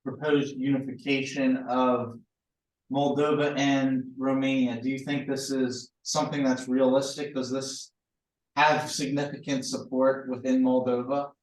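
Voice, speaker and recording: A male student asks a measured question in an even, flat voice. He is heard from some distance in an echoey room. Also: mid-range voice. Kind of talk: asking a question.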